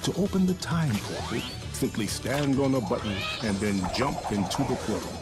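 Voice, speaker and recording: A man voicing a wise, benevolent ancient guardian spirit in a video game, solemn, measured and fatherly. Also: medium pitch, very animated, natural voice. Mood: encouraging, instructive. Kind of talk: video game narration in character. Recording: echoey, loud music behind.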